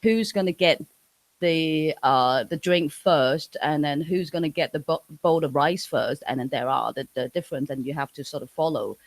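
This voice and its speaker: A woman's voice: low voice, normal speed, no echo. Hesitant Hong Kong woman, dry sound. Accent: Hong Kong English. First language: Cantonese. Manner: hesitant, many restarts and fillers. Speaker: interview guest. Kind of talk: casual interview chat.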